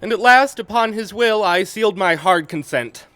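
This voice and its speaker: Man acting fussy old-style courtier, highish bright voice, laboured formal verse, Shakespearean audio drama. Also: expressive, moderate pace. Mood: obliging. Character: fussy, obliging father and royal counsellor.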